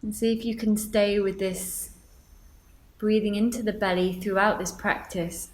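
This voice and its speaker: Woman guiding meditation in an even tone. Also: mid-range voice, low-quality recording. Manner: gentle, instructive, with deliberate pauses. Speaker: meditation guide. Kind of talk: guided meditation.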